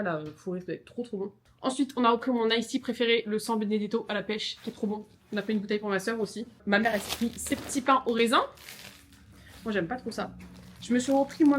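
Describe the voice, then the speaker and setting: A young woman from France talks to her handheld camera in a bubbly, chatty way, gushing about everything. Her voice sits a little high, and a faint hum of outdoor ambience runs behind her. She fills her sentences with easy hesitations. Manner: chatty and bubbly, gushing "trop bon", many "euh". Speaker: travel vlogger. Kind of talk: casual travel vlog to camera. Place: outdoors and in a hotel room by a lake.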